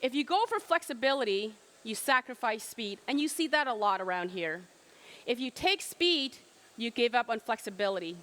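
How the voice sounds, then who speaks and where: Middle-aged woman presenter with a slightly high voice, emphatic keynote delivery and rhetorical questions. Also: lively intonation, normal speed, fairly bright tone. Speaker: trade fair presenter.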